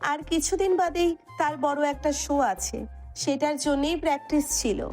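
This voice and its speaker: A woman's voice: high voice, moderate pace, darkish tone. A woman reading scripted narration for a romantic story. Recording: music under the voice. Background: background music.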